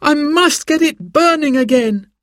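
A man's voice: high voice, measured pace, no room sound, studio quality, voice pushed up. A man voicing an anxious, apologetic young boy who is eager to help, in a children's story. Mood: dismayed.

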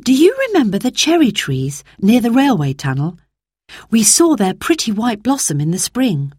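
A woman's voice: on the high side, big pitch swings, normal speed, a little mellow, husky, dry recording, clean studio sound, voice pushed up. A woman reading aloud and voicing a sensible eldest girl in a storybook, with an earnest manner. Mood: cheerful.

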